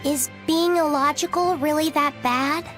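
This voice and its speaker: A woman playing a gentle, earnest young boy in a video game, speaking sincerely and a little hesitantly. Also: high-pitched, steady tone, measured pace, over music. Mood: thoughtful, admiring. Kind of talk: English video game voice acting.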